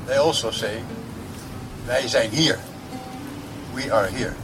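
A man's voice: mid-range voice, takes it slowly, over music, low-quality recording. A man speaking in a video clip with emphatic, stressed phrases.

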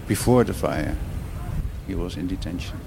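A man hosting, presenting clips and interviewing guests in a measured way with pauses. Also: deep voice, animated, creaky, big-room sound, background noise. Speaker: host.

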